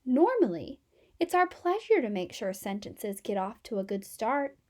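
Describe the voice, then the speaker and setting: Casting: woman as whimpering, self-important alphabet letter for a storybook, high-pitched, swooping melodrama. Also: sing-song, moderate pace, dark tone. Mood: proud, plaintive. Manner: dramatic, plaintive. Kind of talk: children's storybook read-aloud.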